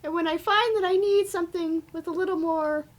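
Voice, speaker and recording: Female performer as chirpy bird mascot, tiny very high voice, conversational coding supervisor. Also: fairly even intonation, a little fast. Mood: explanatory.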